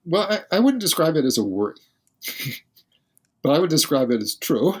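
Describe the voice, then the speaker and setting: A man's voice: a little high, very animated, moderate pace, slightly rough. A male physicist, a guest on a podcast interview, who explains carefully and thinks aloud as he speaks.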